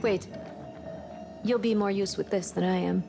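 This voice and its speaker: An actress playing a grave, analytical spaceship crew officer in a sci-fi game, serious and matter-of-fact. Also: female voice, mid-range voice, steady tone, a little slow, gravelly. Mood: tense, serious. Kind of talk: cinematic game drama acting. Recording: music behind the voice.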